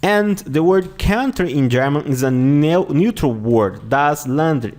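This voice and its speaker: A man with a Brazilian accent teaching an online lesson, going slowly, repeating words and often checking with "okay?". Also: on the high side, lively intonation, a little mellow. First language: Portuguese. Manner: slow, repeats words and phrases often, says "okay?" a lot. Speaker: language teacher.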